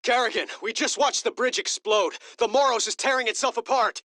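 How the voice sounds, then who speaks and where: High, sharp, urgent officer. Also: male voice, no echo. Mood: alarmed. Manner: urgent, hurried. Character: urgent, alarmed military officer. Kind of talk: video game cinematic acting.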